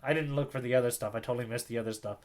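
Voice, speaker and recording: A man's voice: medium pitch, steady tone, rapid-fire, darkish tone, slight background noise. A man co-hosting a podcast, chatting in a casual, jokey way about comics. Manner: jokey, playful. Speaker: podcast co-host. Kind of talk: casual chat about manga panels.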